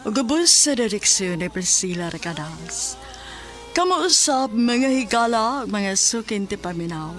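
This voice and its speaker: A woman's voice: mid-range voice, expressive, unhurried. A woman with a Cebuano accent reading scripted announcements as the announcer of a radio drama. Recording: loud music bed under voice. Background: music.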